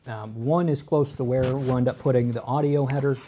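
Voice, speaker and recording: Mellow-voiced man explaining PC parts. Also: mid-range voice, fairly even intonation, brisk, a little hiss or hum. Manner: explains patiently, tells corny dad jokes. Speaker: tech co-host. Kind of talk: casual live-stream explanation.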